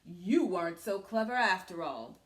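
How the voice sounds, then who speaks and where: A woman voicing a boastful male big bad wolf from a storybook, loud, theatrical and taunting. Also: fairly low pitch, lively intonation, normal speed, echoey room, pitched below the performer's own voice, close to an everyday voice. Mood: gloating menace.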